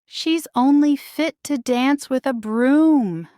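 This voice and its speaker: Woman putting on a shrill, spoiled storybook stepsister. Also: slow pace, warm tone, no echo, clean studio sound. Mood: mocking. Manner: cackling, whiny. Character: spoiled, spiteful young stepsisters, whiny and shrill.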